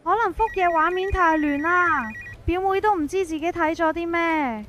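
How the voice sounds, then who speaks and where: A woman with a Hong Kong Cantonese accent telling a scripted first-person story in a colloquial, expressive way, acting out the dialogue. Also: extremely high pitch, normal speed, a little hiss or hum. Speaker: narrator and main character of a horror story.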